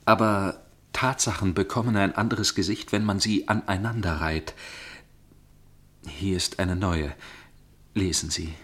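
An actor playing a tormented, lovelorn gentleman torn by conscience, speaking formally and earnestly in a radio drama. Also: low-pitched, fairly even intonation, measured pace, long pauses. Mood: troubled, hesitant. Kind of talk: German radio drama acting, narration and dialogue.

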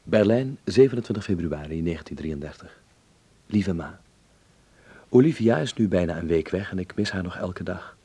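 A man's voice: low-pitched, expressive, normal speed, warm tone, slightly rough, no room sound. An actor playing a thoughtful young Dutch man living in 1930s Berlin, in a conversational radio drama. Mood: fond, reflective.

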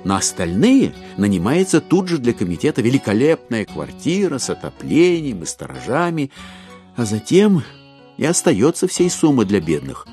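A man narrates a classic novel for an audio play. His mid-pitched voice swings expressively and wryly, with music playing behind him. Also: wildly swooping pitch, moderate pace, mellow. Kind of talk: dramatized literary narration.

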